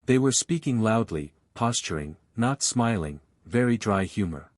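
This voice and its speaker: Low-voiced man reading an online story. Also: lively intonation, a little slow, no room sound, studio quality. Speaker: narrator. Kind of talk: reading aloud a first-person online story.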